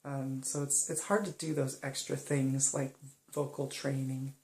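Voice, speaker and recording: A young woman speaking softly and hesitantly in a personal monologue, pausing often. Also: extremely low pitch, little pitch movement, reverberant. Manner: soft-spoken and hesitant, many pauses and ums. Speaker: vlogger.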